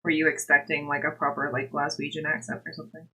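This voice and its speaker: A woman's voice: low-pitched, little pitch movement, fast talker, bright, forceful tone, breathy edge, rough recording. A woman hosting a livestream, chatting to viewers in an informal, giggly way. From Scotland-born, grew up overseas and in the United States. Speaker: livestream host and crafter. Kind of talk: casual chat with livestream viewers.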